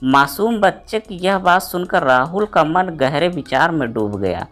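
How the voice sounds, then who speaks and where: Low-pitched woman narrating a moral story. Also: animated, a little slow, faint music behind.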